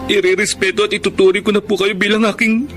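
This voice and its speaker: A man's voice: high-pitched, flat delivery, quick pace, lightly acted. A young man acting a polite, earnest teenage son in a Filipino radio drama. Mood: repentant. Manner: earnest, respectful. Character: polite, repentant teenage son promising to be good. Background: music.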